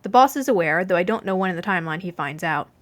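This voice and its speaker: A woman's voice: fairly low pitch, animated, quick pace, lightly acted. Woman voicing a stern, reassuring young mafioso. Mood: matter-of-fact. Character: young male mafioso from the future, stern but gently reassuring.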